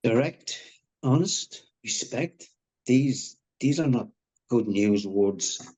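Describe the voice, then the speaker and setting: An actor playing a blunt, sardonic office colleague in a live screenplay table read. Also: male voice, medium pitch, expressive, slow, warm tone, dull audio. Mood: dramatic alarm.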